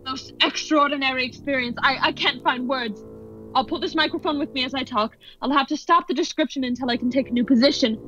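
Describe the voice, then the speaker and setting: A woman playing an excited, increasingly horrified radio news reporter giving dramatic, halting eyewitness commentary in a radio play. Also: high-pitched. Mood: horrified, awed. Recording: dull lo-fi audio under music.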